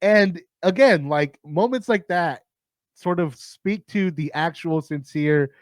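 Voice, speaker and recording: Raspy-voiced man rambling about movies. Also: fairly high pitch, takes it slowly, no room sound, studio quality. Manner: profane, rambling, lots of restarts and fillers. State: raspy, tired voice. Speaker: host of a movie countdown. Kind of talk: casual rambling film talk.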